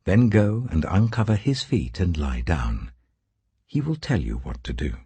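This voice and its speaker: Man voicing a husky, caring older mother-in-law. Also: deep voice, big pitch swings, a little slow, dark tone, dry recording, exaggerated voice. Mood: advising.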